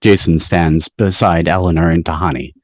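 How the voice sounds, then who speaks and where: A man's voice: deep voice, steady tone, normal speed, dry recording. A man reading scripted stage directions as the narrator of a table read. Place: online video call. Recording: dry video-call audio.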